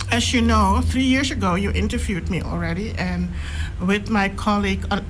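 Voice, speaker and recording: A middle-aged woman from Curaçao, a guest researcher answering radio interview questions in a measured, thoughtful way, pausing often to find her words. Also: fairly low pitch, a little hiss or hum, poor audio. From Curaçao, raised in the Netherlands. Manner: measured, thoughtful, pauses with "uh" while choosing words.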